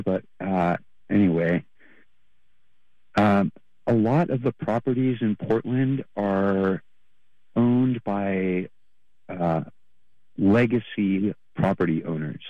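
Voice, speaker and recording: Man, very low voice, hesitant caller, tinny phone line, dry. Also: no echo. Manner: hesitant, many fillers. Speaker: radio caller. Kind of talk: call-in radio comment.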